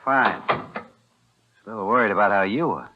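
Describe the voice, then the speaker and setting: An American actor playing a wary, smooth small-time gangster in a 1940s radio thriller, with clipped, dryly pointed lines. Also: male voice, on the low side, moderate pace, heavy background noise, natural voice. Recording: muffled old 1940s radio recording.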